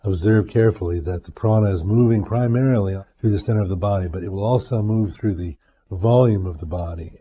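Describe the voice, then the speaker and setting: A man leads a guided meditation in a very dark, soft-edged, mellow voice. His pitch sits on the low side. He gives instructions deliberately, in short measured phrases with space between them. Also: husky, highs cut off. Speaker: meditation teacher.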